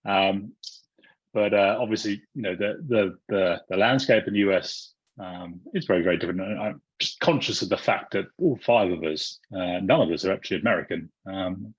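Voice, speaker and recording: A man's voice: sits low, normal speed, husky, dry recording. A man from the UK, speaking as a panelist on an online panel with wry remarks and frequent restarts. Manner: wry, thinking aloud with many restarts. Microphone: video call. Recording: muffled dry video call audio.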